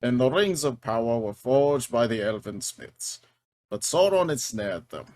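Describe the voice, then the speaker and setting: A man's voice: mid-range voice, sing-song, unhurried, a little mellow, no room sound. A man playing a grave, stately, ageless elven king in a fantasy table read, formal and declamatory. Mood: solemn, lecturing.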